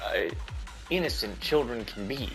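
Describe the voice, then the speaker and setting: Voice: mid-range voice, expressive, moderate pace, vocal fry, over loud music. A gaming streamer giving casual, wry playthrough commentary with plenty of swearing.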